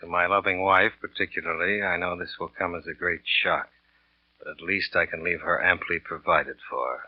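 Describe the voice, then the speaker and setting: Low-voiced scheming killer from old radio. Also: male voice, fairly even intonation, normal speed, no echo. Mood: grave, deliberate. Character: scheming male pilot and salesman, murderous lover narrating his crime. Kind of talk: 1940s radio drama acting. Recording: muffled old radio broadcast with music.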